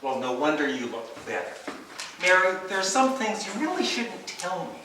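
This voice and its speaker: A man plays a bewildered, indignant husband in a stage play rehearsal. His fairly high voice swings animatedly in theatrical exasperation. It is heard from a distance in a very reverberant room. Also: very animated, moderate pace. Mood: baffled, hurt. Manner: theatrical, swinging from muttered disbelief to indignant outbursts. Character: exasperated, bewildered husband jealous of his wife's fantasy lover. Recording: distant, echoey room with background noise.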